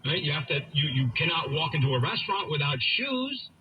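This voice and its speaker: A man's voice: fairly high pitch, sing-song, a little fast, highs cut off. A man hosting talk radio, giving an opinionated, emphatic monologue and repeating phrases for effect. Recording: radio broadcast with faint background noise.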